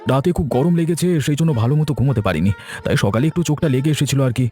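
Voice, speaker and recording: Rapid, mellow village family man acted by a man. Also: medium pitch, animated, faint music behind, lightly acted. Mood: earnest. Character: protective village brothers, the elder a doting widowed father. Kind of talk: cartoon family drama dialogue.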